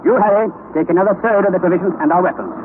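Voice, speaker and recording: An actor playing a fierce, excitable older uncle and explorer in an old radio drama, declaiming theatrically and giving commands. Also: male voice, high-pitched, rapid-fire, phone-quality sound, lightly acted. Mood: commanding. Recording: thin, band-limited vintage radio recording, noisy.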